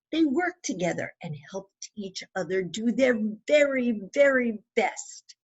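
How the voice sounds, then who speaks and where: A woman's voice: medium pitch, husky, dull audio. A woman reading a children's story aloud expressively, with emphatic phrasing and questions put to young listeners. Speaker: children's author reading her book aloud.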